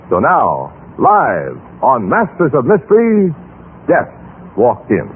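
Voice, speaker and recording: A man hosting as an old-time radio announcer, reading a script in a formal, dramatic, deliberate manner. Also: medium pitch, wildly swooping pitch, phone-quality sound. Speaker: radio show host, announcer. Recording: band-limited old radio broadcast, background noise.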